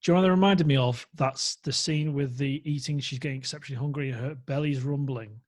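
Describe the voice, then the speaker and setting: A man's voice: medium pitch, fast. A man hosting a podcast interview, chatting casually and enthusiastically, often trailing off mid-sentence. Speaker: podcast host.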